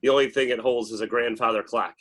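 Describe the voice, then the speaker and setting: Male narrator, mid-range pitch, dramatic scripted narration, screenplay stage directions, table read. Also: fairly even intonation, quick pace, a bit bright.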